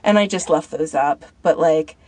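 A woman's voice: sits low, normal speed, darkish tone, no room sound. A woman co-hosting a podcast in casual chat, rambling informally as she thinks aloud. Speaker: podcast co-host.

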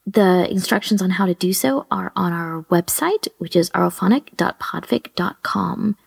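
A woman's voice: lowish voice, animated, normal speed, gravelly, breathy edge. A woman co-hosting a podcast, chatting casually and informally with emphatic opinions. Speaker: podcast co-host. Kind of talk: casual fan chat.

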